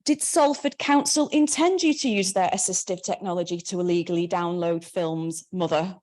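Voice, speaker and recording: A woman's voice: fairly low pitch, moderate pace, darkish tone, natural voice. A woman voicing her blunt, wry, devout older mother, talking plainly and bluntly. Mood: dry, teasing. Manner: blunt, accusing.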